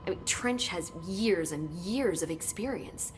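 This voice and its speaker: An actress playing an earnest, knowledgeable government researcher in a video game, explaining in a measured, understated way. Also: female voice, on the low side, expressive, slight background noise, low-quality recording. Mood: helpful, informative. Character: earnest, knowledgeable female government agency researcher, briefing her director. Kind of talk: video game cutscene acting.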